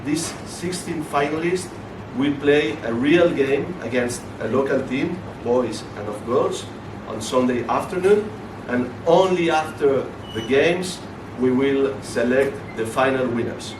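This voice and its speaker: Halting man speaking in an echoey hall. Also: mid-range voice, expressive. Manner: halting, many fillers and restarts. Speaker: football league representative. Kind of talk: event speech. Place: hotel function room. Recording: echoey room from far off, noisy.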